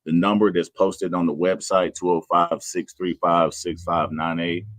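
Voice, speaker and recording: A man's voice: deep voice, flat delivery, moderate pace, a bit bright, no room sound. A man, talking earnestly and plainly as a guest in a conversational interview. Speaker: personal trainer, guest.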